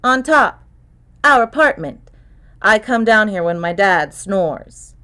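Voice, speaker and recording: A woman voicing a precocious young girl in an audiobook, calm and clear, with a cynical, worldly edge. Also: medium pitch, takes it slowly. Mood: coolly sarcastic. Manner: clear, calm, worldly beyond her years. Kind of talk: acted audiobook dialogue.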